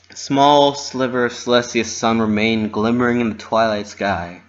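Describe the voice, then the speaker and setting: A man with a mid-pitched voice narrates a story in a dramatic reading style. The sound is a little dull and lo-fi, like a home recording. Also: fairly bright tone.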